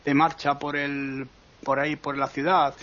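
A man's voice: on the high side, flat delivery, measured pace, fairly bright tone. Rambling Spanish man guiding, slightly muffled sound. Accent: Spanish from Spain. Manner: rambling, hesitant, keeps seeking agreement with tag questions. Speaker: radio travel segment presenter. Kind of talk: casual conversational travel guide commentary.

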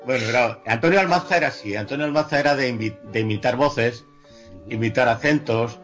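A man's voice: mid-range voice, brisk, fairly bright tone, faint music behind. A man from Madrid with a Madrid Spanish accent, chatting casually as a radio co-host and repeating his words. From Spain, Madrid.

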